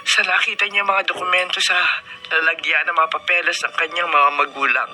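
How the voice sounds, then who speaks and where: A woman playing a patient, middle-aged mother in a Filipino radio drama, speaking in an earnest, gently pleading way. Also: fairly low pitch, fairly even intonation, fairly quick. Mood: sorrowful, reassuring. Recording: radio drama with music bed.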